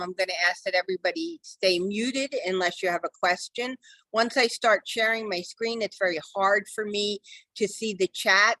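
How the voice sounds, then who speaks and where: A middle-aged woman with a lowish, slightly hoarse voice leads a live online class in a chatty, reassuring way, filling pauses with ums. Her video-call audio is dry and close. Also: pushed and bright, no echo, poor audio. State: losing her voice. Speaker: real estate software trainer. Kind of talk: live online training session.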